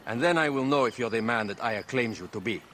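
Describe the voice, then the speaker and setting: An actor playing a grave older royal adviser in a game cutscene, speaking in a measured, lecturing way. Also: male voice, mid-range voice, animated, a bit bright, slight background noise, lightly acted. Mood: stern. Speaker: queen's adviser. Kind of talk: video game cutscene acting. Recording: reverberant game audio with music.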